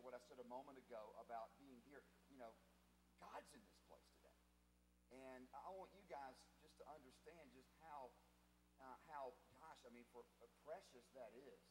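A middle-aged man giving informal testimony as a panel guest on a chapel stage, halting and earnest, into a handheld mic. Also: medium pitch. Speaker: alumnus panel guest, preacher. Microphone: handheld microphone. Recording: echoey hall with noisy hum.

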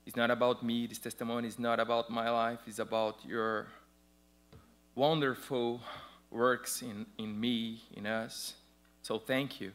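Brazilian man in his 30s, bright voice, careful and earnest, testimony at a church pulpit. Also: medium pitch, level tone, a little slow. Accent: Brazilian Portuguese. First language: Portuguese. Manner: earnest and careful, slightly hesitant. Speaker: church member giving testimony. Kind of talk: personal testimony and prayer. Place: church sanctuary stage, at a pulpit.

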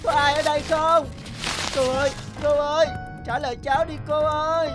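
A man's voice: very high voice, level tone, moderate pace. A man voicing an earnest, devoted young peasant in a cartoon, with a plaintive manner. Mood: grieving, desperate. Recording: music behind the voice.

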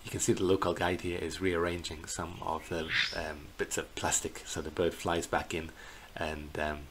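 A middle-aged man giving an unscripted slideshow narration as a tour guide, in a hesitant, halting way, recorded indoors on a computer microphone. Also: low voice, level tone. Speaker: bird tour guide presenting a virtual tour. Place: indoors in a small plain room. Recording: clear background noise.

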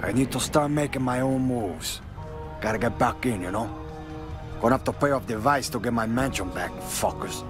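A man's voice: mid-range voice, moderate pace, over music, close to an everyday voice. A man acting a hot-tempered, profane Cuban gangster kingpin in a video game, with a Cuban accent and a cocky, domineering manner. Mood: confident, scheming.

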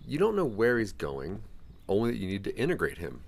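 A man's voice: lowish voice, huge pitch swings, a little fast, gravelly, husky. A man narrating a scripted second-person audio drama in a theatrical, ominous storytelling manner.

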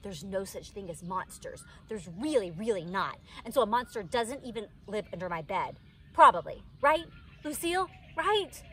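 A woman's voice: medium pitch, big pitch swings, normal speed, fairly bright tone. A woman voicing an anxious, pestering little schoolgirl from a children's storybook, pleading and repeating herself insistently. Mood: anxious insisting. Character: anxious, pestering little schoolgirl narrating her own troubles. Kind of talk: children's storybook read-aloud, dramatized. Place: outdoors in a wicker pod chair under trees. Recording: outdoor ambient noise.

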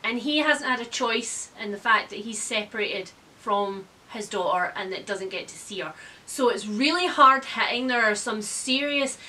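Woman book vlogger, 30s. High-ish, crisp bright tone, chatty and animated reviewing. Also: moderate pace. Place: indoor room with bookshelves.

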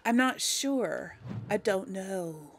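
A woman's voice: mid-range voice, animated, slow pace, a little hiss or hum. A woman giving casual video game commentary, thinking aloud as she plays. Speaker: video game let's player.

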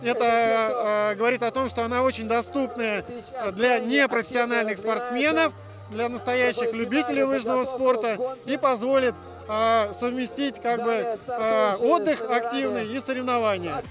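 A middle-aged man, a race organizer, interviewed outdoors at a ski race, talking hesitantly with many pauses. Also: extremely high pitch, fairly even intonation, music in the background. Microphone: handheld reporter mic.

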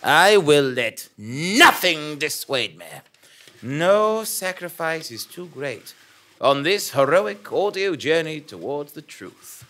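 Self-righteous investigative journalist, played by a man, live comedy audio drama. Pompous, overwrought, forceful, wildly varied pitch. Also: big pitch swings, slow pace, heavily acted. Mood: grandiose. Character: self-righteous, melodramatic male investigative journalist on heroic quest. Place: small theatre stage before a seated audience. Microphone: stage microphone on stand.

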